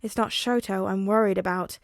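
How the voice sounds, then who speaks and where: A woman voicing a calm, dry-witted father who speaks in a measured way. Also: mid-range voice, vocal fry, dry recording, natural voice. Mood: wry. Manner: wry, measured.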